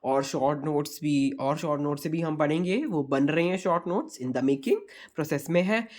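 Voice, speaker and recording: A hoarse young man with a sore throat gives an exam revision lecture online, explaining in a repetitive way and calling his viewers friends. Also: fairly high pitch, normal speed, darkish tone. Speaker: online tutor.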